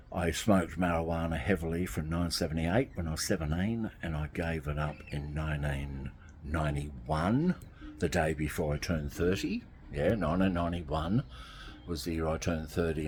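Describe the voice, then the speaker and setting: A man's voice: rumbling low, a little hiss or hum. A man, talking casually to camera from outdoors by a garden, rambling and repeating himself. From Australia. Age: 60s. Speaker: vlogger.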